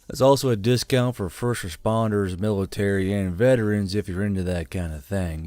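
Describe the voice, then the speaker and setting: A man gives an informal ad read for a show's sponsor. His voice is on the low side, and the recording is dry, free of any room echo. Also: darkish tone. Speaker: host reading sponsor message.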